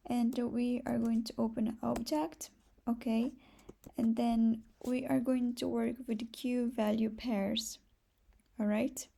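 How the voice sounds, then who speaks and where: Slow, creaky Brazilian woman explaining softly. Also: on the high side, level tone. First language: Portuguese. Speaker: programming tutorial host. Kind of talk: casual step-by-step screencast tutorial.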